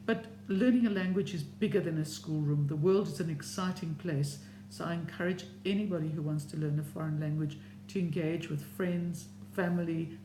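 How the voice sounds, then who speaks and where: Encouraging middle-aged speaker, echoey room. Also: medium pitch. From South Africa. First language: Serbian. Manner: measured, encouraging. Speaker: language learning vlogger. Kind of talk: opinion talk to camera. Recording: echoey room with steady hum.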